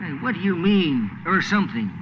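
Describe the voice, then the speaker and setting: Casting: querulous, uneducated American sidekick, very high-pitched, 1940s radio drama, noisy vintage sound. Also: male voice, big pitch swings, brisk. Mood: puzzled, querulous. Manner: folksy, ungrammatical, querulous. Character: needy, boastful, uneducated deckhand sidekick, a man. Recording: muffled, noisy old radio recording.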